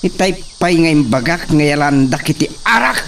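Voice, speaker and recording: A man's voice: a little high, expressive, normal speed, creaky, a bit bright. A man with an Ilocano accent playing a hot-tempered, imperious young master of an estate in a radio drama. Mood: commanding. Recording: distant mic, noisy cricket ambience.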